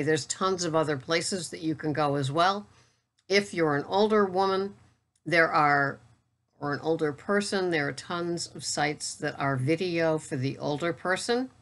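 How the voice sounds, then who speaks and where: Low-voiced woman in her sixties, steady and plain. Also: normal speed. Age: 60s. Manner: steady, matter-of-fact, self-described monotone; chatty with wry asides. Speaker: keto vlogger and coach. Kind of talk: casual talk to camera.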